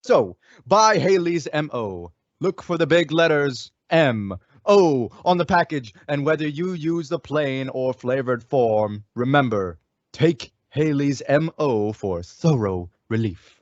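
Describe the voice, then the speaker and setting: A man's voice: medium pitch, expressive, slow pace, no room sound. A man reading a scripted old-time radio commercial as an announcer, with an emphatic sales delivery. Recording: dull lo-fi radio recording.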